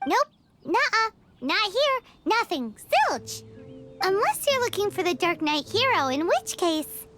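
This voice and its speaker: A woman voicing a small, childlike, cheeky and talkative fairy companion in a video game, squeaky and theatrical. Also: sing-song, a little slow, music in the background, exaggerated voice. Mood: flustered. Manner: exclamatory, theatrical, refers to self in third person. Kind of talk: video game character acting.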